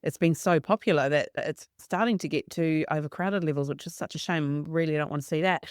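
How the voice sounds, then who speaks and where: A woman's voice: low voice, brisk, no room sound. A woman hosting a travel podcast, friendly and conversational. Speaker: podcast host.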